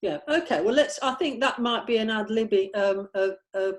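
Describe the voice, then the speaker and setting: Hesitant woman scriptwriter discussing her work. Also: fairly high pitch. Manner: hesitant, halting, searching for words.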